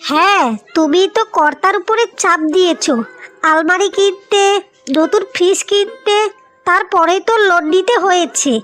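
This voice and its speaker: A woman's voice: extremely high pitch, steady tone, normal speed, fairly bright tone, soft background music. A woman voicing a nagging, practical and resentful co-wife in a cartoon, with a scolding, accusing manner. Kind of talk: cartoon voice acting.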